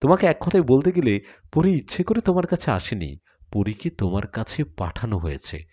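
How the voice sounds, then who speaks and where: Fatherly holy healer played by a male narrator, solemn and measured, dark mellow tone, audio story. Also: medium pitch, animated, natural voice. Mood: grave.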